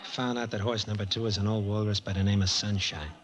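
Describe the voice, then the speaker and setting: An actor playing a slippery, charming young racetrack tout with slangy, evasive talk in an old-time radio drama. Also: male voice, on the low side, steady tone, fast, soft background music, lightly acted. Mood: cocky. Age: young adult.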